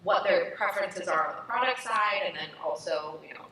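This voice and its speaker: A woman's voice: fairly low pitch, fairly quick, bright, forceful tone. A woman speaking fluently as a marketing panelist, often saying "you know". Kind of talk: panel discussion. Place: stage at a summit. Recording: echoey hall, distant mic, noisy.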